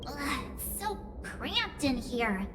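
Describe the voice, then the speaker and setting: Very high-pitched perky game girl. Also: big pitch swings, slow, noisy background, heavily acted. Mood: whiny, cramped. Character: perky, impulsive teenage girl adventurer. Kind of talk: video game voice acting.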